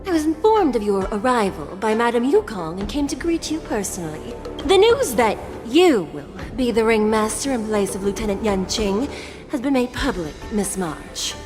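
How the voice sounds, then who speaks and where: Courteous lady official from a game, formal but really lively intonation, music behind. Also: on the high side, normal speed. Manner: polite, formal. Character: courteous, formal female official greeting guests. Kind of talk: video game character voice acting.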